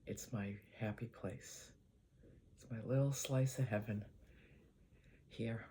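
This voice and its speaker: An older woman with a Boston accent, chatting casually to her channel in an easygoing, rambling way, often letting her voice trail off quietly. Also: a bass voice, fairly even intonation, slow pace, noisy background. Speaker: vlogger. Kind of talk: casual chat to camera.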